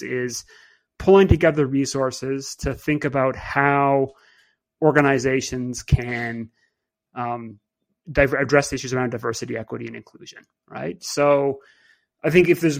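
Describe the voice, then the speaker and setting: A man's voice: medium pitch, vocal fry. A man hosting a podcast, talking thoughtfully in a casual way with many fillers and restarts. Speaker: podcast host. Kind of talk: casual conversational podcast talk.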